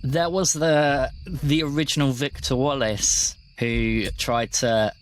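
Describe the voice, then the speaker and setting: A man's voice: medium pitch, steady tone, slow pace, a little hiss or hum. A man working as game master, narrating a tabletop roleplaying game in a conversational way.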